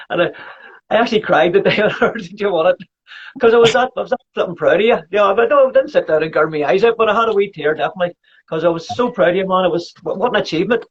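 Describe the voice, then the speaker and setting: A Scottish man in his fifties hosting a casual chat, keen and quick to agree. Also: high-pitched, slightly rough. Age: 50s. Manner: enthusiastic, quick to agree and laugh. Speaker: podcast host. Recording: muffled lo-fi audio.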